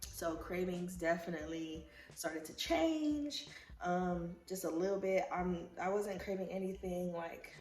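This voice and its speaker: Congested young woman chatting in an echoey room. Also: fairly low pitch. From Liberia. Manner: chatty, rambling, frequent fillers. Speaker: vlogger. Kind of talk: casual pregnancy recap vlog. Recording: roomy echo, faint music.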